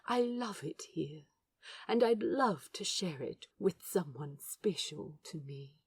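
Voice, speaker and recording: A woman plays a courteous, smitten gentleman in an audio drama, speaking slowly and softly with quaint politeness. The voice is lowish and husky, with an eager, lilting delivery. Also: animated, dry recording, heavily acted. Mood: tender, shy. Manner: polite, quaint phrasing, soft-spoken. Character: Aziraphale, gentle eager old-fashioned man, smitten and courteous. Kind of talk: fan fiction audio drama.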